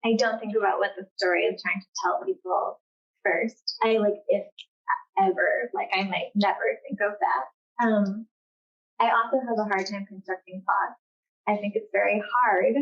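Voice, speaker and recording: A woman's voice: medium pitch, fairly even intonation, normal speed, vocal fry. Casting: American woman writer, informal and hesitant, heavy on "like", slightly muffled lo-fi event recording. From California, United States. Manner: informal, hesitant, frequent "like" as filler. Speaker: novelist, guest author. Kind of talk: casual unscripted answers at a literary event.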